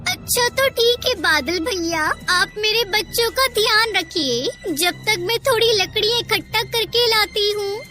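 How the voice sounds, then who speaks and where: Little female bird for kids' cartoon, woman performer: tiny very high voice, humble, earnest, pleading. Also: lively intonation, unhurried, few pauses, over music. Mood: hopeful, pleading. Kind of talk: Hindi moral cartoon dialogue.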